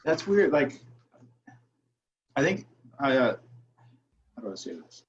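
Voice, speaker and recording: An American man from Wisconsin talking thoughtfully on a panel, haltingly searching for his words. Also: medium pitch, big pitch swings. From Wisconsin, United States. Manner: halting, searching for words, frequent checking "right". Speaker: academic panelist. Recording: dull, muffled audio.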